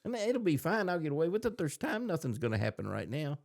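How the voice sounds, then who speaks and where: A man's voice: a little high, big pitch swings, fast, creaky, a little mellow. A man co-hosting a podcast in casual chat, playful and often repeating himself as he thinks. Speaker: podcast co-host. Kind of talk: casual chat about books and shows.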